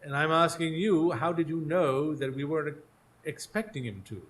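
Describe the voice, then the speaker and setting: A man's voice: fairly high pitch, normal speed, fairly bright tone, natural voice. A man playing a sceptical, exasperated Victorian detective in a radio drama, speaking formally and dryly with probing questions. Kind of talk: live radio drama acting.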